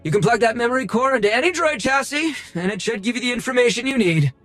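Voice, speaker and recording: A man's voice: extremely high pitch, animated, fast, fairly bright tone, no echo, faint music behind. A man playing a fussy, pompous and nervous collector of rare droids in a theatrical video game style. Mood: scheming, pompous. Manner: pompous, theatrical, flustered under pressure. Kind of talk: video game cutscene acting.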